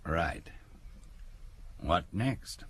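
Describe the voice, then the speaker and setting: A man's voice: deep voice, lively intonation, drawn-out words, breathy edge, heavily acted. An actor in an audio drama playing a businesslike police officer reporting to his chief.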